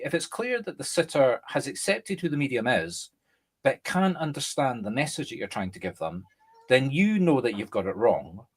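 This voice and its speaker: A Scottish man, a medium and co-host, chatting casually and explaining through lively examples. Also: mid-range voice. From Scotland. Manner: animated, explains through examples and acted-out quotes. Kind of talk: casual conversation.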